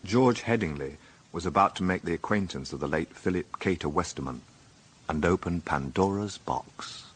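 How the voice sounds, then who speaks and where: A man acting as the narrator of a radio murder mystery, measured and dryly ironic. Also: deep voice, a little hiss or hum, lightly acted. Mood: dry, grave. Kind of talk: scripted radio drama narration.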